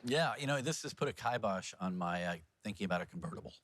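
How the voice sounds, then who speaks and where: A man's voice: sits low, expressive, fast, a bit bright. A man anchoring local TV news with a joking, bantering manner. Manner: jokey, joshing. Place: TV studio.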